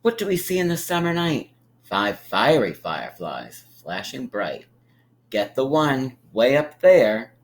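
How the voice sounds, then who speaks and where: A man reads aloud to small children in a very lively, swooping voice. He takes his time, stretching phrases for suspense and encouraging listeners to join in. There is some background noise on the recording. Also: mid-range voice. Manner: encouraging, inviting listeners to count along; drawn-out, emphatic storytelling delivery with dramatic pauses. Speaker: teacher reading a picture book aloud to preschoolers. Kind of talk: animated children's read-aloud with friendly asides.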